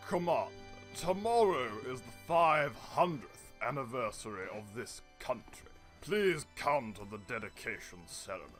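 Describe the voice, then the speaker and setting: A man's voice: medium pitch, lively intonation, moderate pace, fairly bright tone, soft background music, lightly acted. A man playing a shrewd, fawning prime minister in an audio drama, speaking in a formal, unctuous manner. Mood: obsequious. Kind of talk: radio-play acting.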